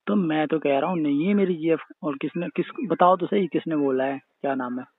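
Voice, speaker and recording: A man's voice: a little high, brisk, no room sound. A man chatting casually on a recorded phone call, questioning and protesting defensively.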